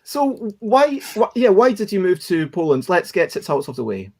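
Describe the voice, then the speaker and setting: A man's voice: high voice, sing-song, normal speed, darkish tone. A man hosting a casual chat show, speaking in a rambling, encouraging way and often correcting himself as he goes. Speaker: host of a daily livestream chat show.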